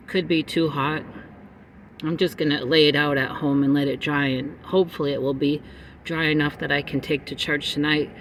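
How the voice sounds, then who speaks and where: A middle-aged man talks from a parked car in a loose, wandering way, pausing often. His voice sits a little high for a man. The phone mic is a bit distant, with faint ambient noise behind him. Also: a bit bright. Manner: chatty, meandering, frequent um pauses. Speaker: vlogger. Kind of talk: casual rambling chat to viewers. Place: parked car in a parking lot.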